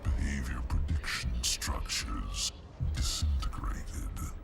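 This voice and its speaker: A malevolent rogue machine from a video game, its voice abyssally deep and dragging. The phrasing is broken and robotic, gravelly and cold with gloating, under heavy processing and over a loud bed of sound. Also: male voice, expressive, over music, exaggerated voice. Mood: halting, broken. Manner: halting, fragmented, robotic phrasing. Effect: distorted robotic processing.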